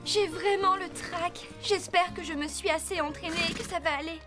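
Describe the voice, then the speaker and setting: A woman voicing a sweet, earnest young girl in a French cartoon, gentle and sincere. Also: extremely high pitch, moderate pace, over loud music. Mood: nervous, grateful. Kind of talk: French cartoon dub acting.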